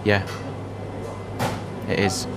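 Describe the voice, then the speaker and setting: A man's voice: very slow, background noise. An actor playing an earnest young male racing driver in a game cutscene, speaking plainly and sincerely.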